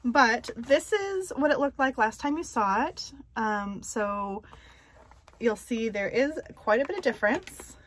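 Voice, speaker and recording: Slow, softly chatty woman vlogger. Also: a little high, animated. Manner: soft, unhurried, many ums and pauses; light self-deprecating humor with small laughs. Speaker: cross-stitch vlogger. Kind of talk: casual show-and-tell chat.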